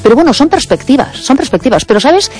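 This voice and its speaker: A veteran woman radio host, speaking quickly and insistently with many fillers in a radio conversation. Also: high-pitched, big pitch swings, darkish tone, faint music behind.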